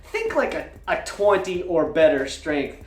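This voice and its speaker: Male vlogger, 40s, casual storyteller, highly varied pitch, theatrical emphasis, roomy echoing recording. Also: sing-song, measured pace, darkish tone. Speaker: tabletop gaming vlogger. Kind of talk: casual personal storytelling to camera.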